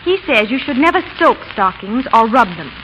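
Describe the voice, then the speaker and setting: A woman's voice: fairly high pitch, lively intonation, normal speed. A woman correspondent giving scripted, chatty tips on a 1930s radio show. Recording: dull 1930s radio, faint noise.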